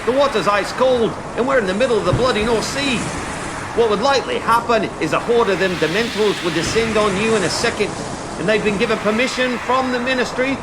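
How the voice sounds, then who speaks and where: A man playing a gruff, blunt guard who gives commanding, sweary warnings in an audio drama. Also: high voice, fairly quick, heavy background noise, natural voice. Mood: loud, stern warning. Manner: commanding, colloquial, sweary, plain-spoken. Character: gruff, blunt male escort guard warning boys about prison.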